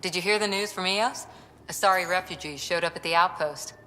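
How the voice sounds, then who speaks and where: A woman's voice: lowish voice, a little fast, pushed and bright, big-room sound, slight background noise. A woman playing a tough, earnest young military lieutenant in video game cutscene dialogue. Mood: eager.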